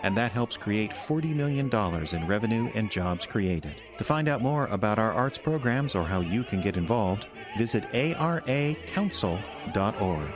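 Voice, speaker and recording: Low-voiced male announcer over music. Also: sing-song, moderate pace, hardly any pauses. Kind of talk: sponsor spot read. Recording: music bed behind.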